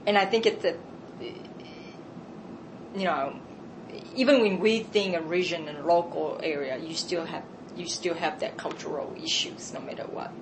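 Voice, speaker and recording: Taiwanese-accented woman, lowish voice, earnest halting answers, noisy background hiss. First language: Chinese. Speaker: interviewee. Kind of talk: candid conversational answers.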